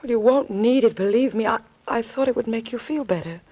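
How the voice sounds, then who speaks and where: A woman's voice: fairly high pitch, brisk, no echo. An actress playing a cool, secretive and anxious woman in trouble in a 1940s radio drama. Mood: pleading. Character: anxious, cool, secretive woman being blackmailed, pleading for help. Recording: dull vintage 1940s radio recording, faint music.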